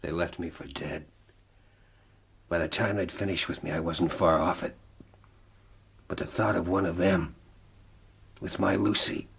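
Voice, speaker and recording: An actor playing a rugged, defiant frontier man with a husky voice in a radio drama. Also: low-pitched, fairly even intonation, a little slow, pauses often, background noise. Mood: bitter. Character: rugged frontier man in love, narrating his ordeal, defiant. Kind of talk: radio drama acting and narration.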